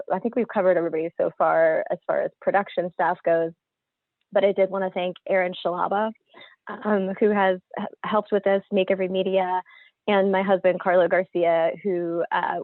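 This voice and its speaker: A woman hosting an event as a producer, making casual announcements and thanks. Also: on the low side. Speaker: theater company producer hosting a launch event. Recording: thin phone-like audio, roomy echo.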